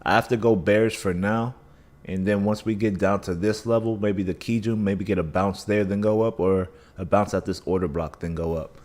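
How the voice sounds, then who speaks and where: Man, scratchy and dry-mouthed, low voice, loose live-stream chart talk with restarts. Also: fairly even intonation, moderate pace, darkish tone. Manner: thinking aloud, restarts, hedging. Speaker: livestream trading host. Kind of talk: casual live chart analysis.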